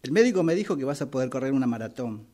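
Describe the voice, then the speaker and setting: Man acting a mellow-voiced, patient nephew. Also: mid-range voice, animated, rapid-fire, natural voice. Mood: cheerful. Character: dutiful nephew patiently phoning his hard-of-hearing aunt. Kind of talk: radio drama phone monologue.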